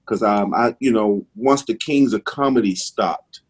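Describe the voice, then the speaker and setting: A middle-aged comedian from Mississippi with a strong Southern accent and a slight lisp, chatting casually in a laid-back, drawling way. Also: male voice, on the low side, a little slow. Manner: laid-back, drawling, says "man" a lot. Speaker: stand-up comedian guest. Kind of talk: casual interview chat.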